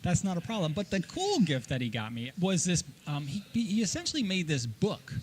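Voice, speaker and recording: Animated higher-voiced man chatting. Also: normal speed, slight background noise. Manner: animated, laughs often. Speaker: podcast co-host. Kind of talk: casual podcast chat and storytelling.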